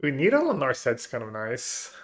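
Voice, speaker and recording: A man's voice: mid-range voice, huge pitch swings, moderate pace. A man live-streaming a card game, chatting casually with viewers and thinking his plays aloud. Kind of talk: live gameplay commentary, reading chat.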